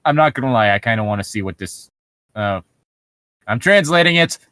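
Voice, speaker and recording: A man's voice: medium pitch, lively intonation, a little fast, a bit bright, clean tone, no echo. Wry man reading and riffing, dry close sound. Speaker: podcast co-host reading and mocking internet horror stories. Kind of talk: reading aloud with casual joking commentary.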